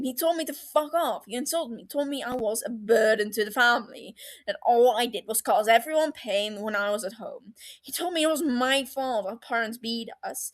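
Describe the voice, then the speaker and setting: A woman's voice: fairly high pitch, voice pushed up, natural voice. A woman as a wounded, defensive young man.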